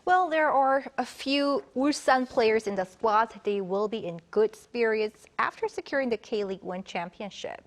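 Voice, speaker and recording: A woman's voice: on the high side, unhurried, hardly any pauses. A woman anchoring a broadcast news programme in a studio, introducing and handing over reports.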